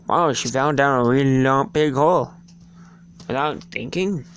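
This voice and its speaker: A person giving an amateur dramatic reading of a storybook, halting and stumbling over the words. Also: mid-range voice, a little hiss or hum. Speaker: reader.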